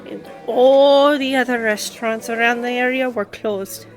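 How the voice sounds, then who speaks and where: Girl playing a lady lawyer opening up over drinks, real slow w pauses, bit high pitched, audio drama. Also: steady tone, faint music behind, close to an everyday voice. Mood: fond, nostalgic. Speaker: voice actor in audio roleplay. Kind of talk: scripted conversational audio roleplay.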